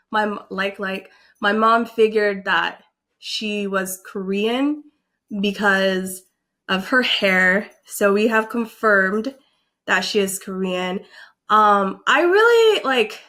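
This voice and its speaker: A young woman vlogging casually, reacting in an animated, excitable way and filling her talk with likes and ums. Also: mid-range voice. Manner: animated, excitable, filler-heavy with frequent likes.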